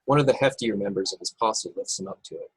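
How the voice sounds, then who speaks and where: A man's voice: fairly low pitch, fast, slightly rough, no room sound, low-quality recording. A man narrating stage directions from a script at a table read over a video call. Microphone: video call, close and dry.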